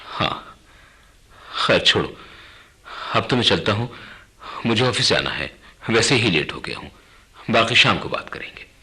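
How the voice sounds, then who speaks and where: An actor playing a worried, dutiful grown son and husband in a radio drama, speaking earnestly and deliberately. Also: male voice, lowish voice, very animated, pushed and bright, full character voice. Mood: worried, hushed. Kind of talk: Hindi radio drama acting.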